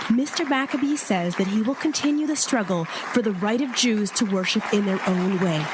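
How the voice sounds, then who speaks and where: A woman playing a composed radio correspondent, reporting live in a formal, measured, solemn way. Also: fairly high pitch, lightly acted. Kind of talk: live news commentary in radio reenactment. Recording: noisy crowd ambience behind.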